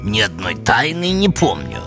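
A woman voicing a forgetful, unhurried old turtle lady in a cartoon, drawling and dim. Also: a bass voice, huge pitch swings, over music, heavily acted. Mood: bemused. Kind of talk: animated cartoon voice acting.

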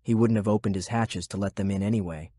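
A man with a husky voice reading scripted story narration for an audiobook. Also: fairly low pitch, steady tone, fast talker, warm tone, no echo, studio quality.

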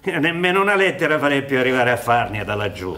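A man's voice: medium pitch, very animated, fast talker, bright, forceful tone. An older man acting in a radio play as a grumbling, sardonic old Sicilian peasant, exclamatory and full of muttered asides. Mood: bitter grumbling.

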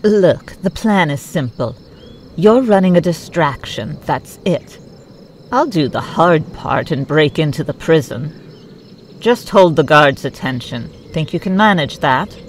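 A woman's voice: low-pitched, animated, a little slow, a little hiss or hum. A woman playing a sardonic, no-nonsense warrior in a sci-fi audio drama. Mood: brisk, dry. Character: hard-edged sardonic female warrior leading a rescue. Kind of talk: fan audio drama acting.

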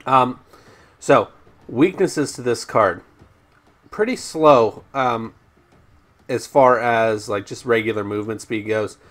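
A man commentating over his own gameplay, chatty and rambling with ums and restarts, his voice slightly raspy today. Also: mid-range voice, takes it slowly, pauses often, fairly bright tone. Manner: rambling, frequent ums and restarts, addresses viewers as guys. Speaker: gaming YouTuber.

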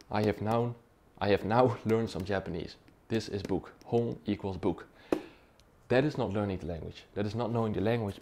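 A man's voice: on the low side, mellow, a little hiss or hum. A young man whose first language is Dutch, explaining at a whiteboard in a casual way and often checking in with "right?" and "okay?". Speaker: language-learning YouTuber. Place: small dim room indoors.

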